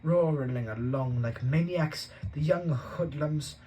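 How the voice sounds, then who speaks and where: A man reading an audiobook voices a grumpy, blustering uncle who growls out slow threats. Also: fairly high pitch, background noise, voice pushed up. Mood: gruff. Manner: gruff, drawn-out threats.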